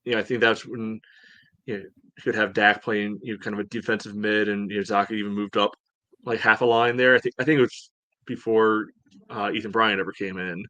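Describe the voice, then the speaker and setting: A man co-hosting a soccer podcast, giving casual analysis in a measured, halting, conversational way. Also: fairly low pitch, steady tone, pushed and bright, no room sound, muffled recording. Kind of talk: casual sports analysis chat.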